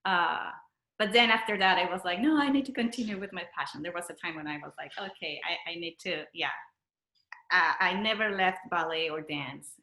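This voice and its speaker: A woman from Peru with a Peruvian Spanish accent, speaking casually and haltingly as she searches for words. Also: deep voice, gravelly, sharp tone. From Lima, Peru. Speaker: interview guest, dancer and choreographer. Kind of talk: casual conversational interview. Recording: roomy echo.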